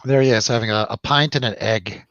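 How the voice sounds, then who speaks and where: A man's voice: mid-range voice, a little fast, fairly bright tone, dry recording, dull audio. A man hosting a casual film review chat, rambling and jokey, putting questions to his co-host. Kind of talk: casual chatty film discussion over drinks.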